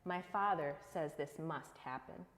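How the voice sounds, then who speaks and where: Woman as a calm, solemn teacher, echoing hall. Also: sits low, a little slow. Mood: firm. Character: calm, authoritative male teacher Jesus, kindly and knowing.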